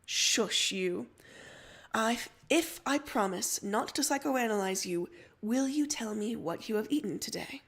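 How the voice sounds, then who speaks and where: A woman's voice: a little high, lively intonation, unhurried, a bit bright, exaggerated voice. A woman voicing a prim, probing teenage girl with a formal, insistent way of talking, in a story reading. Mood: teasing, insistent.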